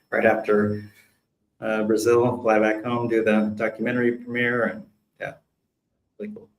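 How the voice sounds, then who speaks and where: Low, creaky-voiced man in a roomy space. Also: unhurried. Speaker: community town hall co-presenter. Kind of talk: casual presentation recap. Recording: roomy echo on voice.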